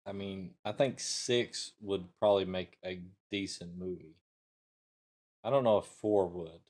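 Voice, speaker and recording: A man's voice: sits low, unhurried, a little mellow. A middle-aged man in casual conversation as a talk show co-host. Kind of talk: casual chat.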